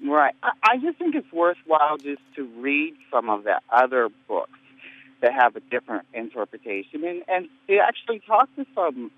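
A man calling in to a radio debate, answering in a halting, hesitant way with many restarts. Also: on the high side, sing-song, no room sound. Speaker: caller. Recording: tinny phone line.

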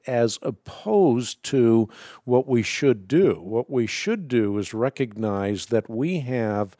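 A Dutch-born man hosting a call-in radio show, answering a caller in a warm, mellow voice. He explains with authority and leans on his points with emphasis. The studio sound is close and dry. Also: lowish voice, no room sound.

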